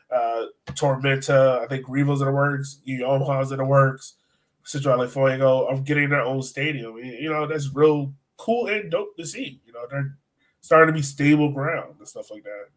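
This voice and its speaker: A man's voice: medium pitch, fairly even intonation, fairly quick. A man co-hosting a soccer podcast, chatting informally and slangily as he breaks down the game. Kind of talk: casual sports chat and game analysis.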